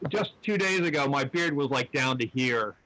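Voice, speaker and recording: A man's voice: mid-range voice, lively intonation, moderate pace, bright, forceful tone, a little hiss or hum, poor audio. A man from North Carolina, chatting in a friendly, slightly hesitant way. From North Carolina, USA. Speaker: reading group member. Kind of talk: casual chat.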